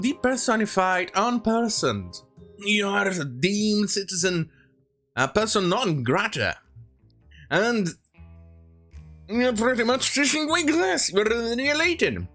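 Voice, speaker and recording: This is an opinionated online commentator in mid-rant, sarcastic and prone to swearing. The pitch swings up and down a great deal while the delivery halts and restarts. Guitar music plays along behind the voice. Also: sing-song, slow, a bit bright. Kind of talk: opinionated rant commentary, reading quotes aloud.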